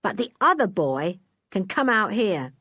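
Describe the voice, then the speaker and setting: A woman voicing a gruff male kidnapper who threatens a group of children. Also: medium pitch, very animated, unhurried, pushed and bright, no room sound, clean studio sound, exaggerated voice. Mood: menacing.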